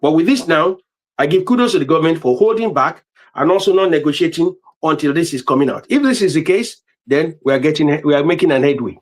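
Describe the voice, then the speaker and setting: A Nigerian-accented man giving political commentary as a panelist, halting and repeating words as he goes. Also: fairly high pitch, animated.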